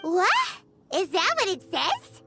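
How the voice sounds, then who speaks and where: A tiny, childlike fairy companion from a video game. The voice is extremely high and swoops about with excitable, exclamatory energy. Music plays clearly behind it. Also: female voice, slow pace, exaggerated voice. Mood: surprised, excited. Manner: refers to self in third person, exclamatory.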